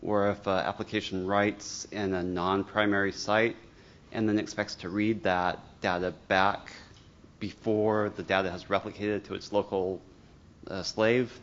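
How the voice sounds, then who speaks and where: A man's voice: on the low side, level tone, measured pace, a bit bright, muffled recording. A man in a conference audience putting a technical question to the speaker. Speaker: audience member asking a question.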